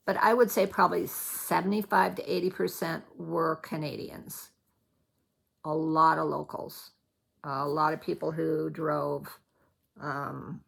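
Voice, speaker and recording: A woman's voice: lowish voice, gravelly, a bit bright, husky. A middle-aged woman from Colorado with a Midwestern American accent, chatting casually as a needlework vlogger, rambling and often backtracking mid-thought. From Colorado, United States. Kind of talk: casual chatty vlog recap.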